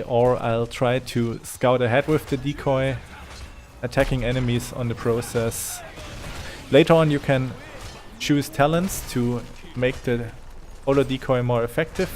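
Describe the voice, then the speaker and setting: A man's voice: mid-range voice, darkish tone, slight background noise. A man with a German accent, a native German speaker, giving unscripted video game commentary in a hesitant, thinking-aloud way. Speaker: gaming commentator.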